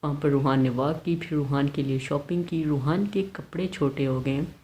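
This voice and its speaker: Low, mellow-voiced woman recapping vlogs. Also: moderate pace, low-quality recording. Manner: steady storytelling narration with light commentary. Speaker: celebrity news vlogger. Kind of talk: recapping another family's vlogs.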